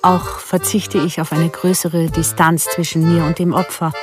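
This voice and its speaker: Actress as composed killer mother, radio play monologue. Deep female voice, measured delivery over music. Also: little pitch movement, natural voice. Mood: cool, matter-of-fact. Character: composed contract killer, devoted mother, coolly matter-of-fact. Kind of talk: first-person radio drama monologue.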